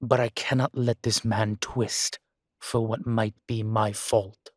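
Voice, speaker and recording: A man playing a guilt-ridden, centuries-old vampire in an audio drama, speaking gravely and formally with weary, deliberate pauses. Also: lowish voice, little pitch movement, no echo, studio quality. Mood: earnest, resolute. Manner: slow, grave, deliberate phrasing with long pauses. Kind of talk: dramatic audio roleplay acting.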